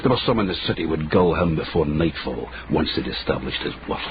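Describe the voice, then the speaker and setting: A very deep-voiced, world-weary storyteller in a radio drama. He is measured and darkly wry. The recording is dull, with clear noise behind him. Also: expressive.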